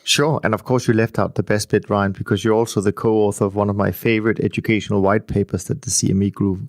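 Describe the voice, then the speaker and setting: A male podcast host courteously thanks each guest and brings in the next. His voice is on the low side. The recording is dry and close. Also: level tone, fast, dry recording. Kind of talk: interview hosting.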